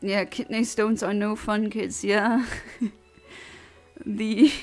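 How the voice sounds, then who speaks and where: Woman, gravelly fry, blunt and literal, casual streamer chat. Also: mid-range voice, fairly even intonation, takes it slowly, darkish tone, soft background music. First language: Luxembourgish. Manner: blunt, literal and chatty, with many restarts. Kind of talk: casual chat answering a livestream chat.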